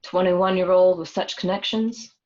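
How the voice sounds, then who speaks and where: A woman's voice: on the low side, steady tone, normal speed, darkish tone, close to an everyday voice. A woman as a forthright gangster's girlfriend, muffled audio. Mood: matter-of-fact. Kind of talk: film script table read.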